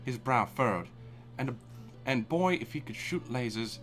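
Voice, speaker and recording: A man narrates fan fiction in a hammy, dramatic way for a live audience. His pitch swings widely as he reads. He often stops to laugh and swear, and faint music plays underneath. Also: lively intonation, measured pace, fairly bright tone. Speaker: fanfic reader. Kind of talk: comedic live fanfic reading.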